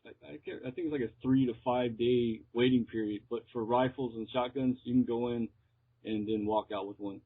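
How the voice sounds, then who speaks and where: An American man, heard through a thin, phone-like connection, talks in a casual interview. He speaks in a halting, hesitant way, feeling his way through each answer. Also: mid-range voice, steady tone. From Texas, United States. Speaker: interviewed metal musician. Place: remote call. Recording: muffled, tinny phone line.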